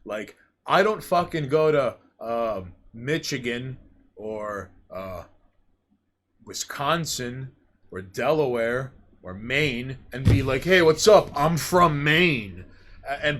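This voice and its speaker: A man's voice: medium pitch, a bit bright, a little hiss or hum. A man co-hosting a casual podcast, ranting with plenty of swearing and reading viewer names from a live chat. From New York. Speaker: podcast co-host.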